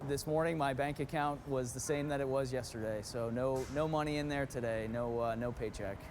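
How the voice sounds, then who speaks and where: A man's voice: medium pitch, steady tone, a little fast, a little mellow. A man, an air traffic controller, speaking plainly in a news interview recorded amid outdoor noise.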